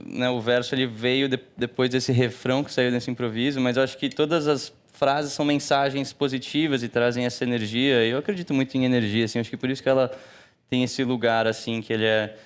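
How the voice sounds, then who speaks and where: Relaxed Brazilian man, chatty musician. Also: mid-range voice, fairly quick, clear, resonant tone. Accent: Brazilian Portuguese. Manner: relaxed, many fillers like "né" and "assim". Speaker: musician guest. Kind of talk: casual TV interview chat.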